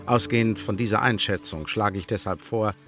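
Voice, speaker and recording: An actor playing a stern, formal official of an Elizabethan spy agency in a German radio play. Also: male voice, lowish voice, over music, close to an everyday voice. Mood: dry, official. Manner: formal, bureaucratic, measured. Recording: reverberant hall.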